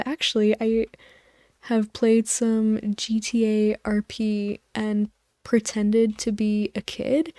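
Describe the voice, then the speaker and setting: A woman with a soft voice, rambling over gameplay in a sleep-aid video, meandering and sometimes losing her thread. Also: mid-range voice, no echo, clean studio sound. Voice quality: soft voice, drifting into whispers. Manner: soft, meandering, loses her train of thought. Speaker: gaming video host.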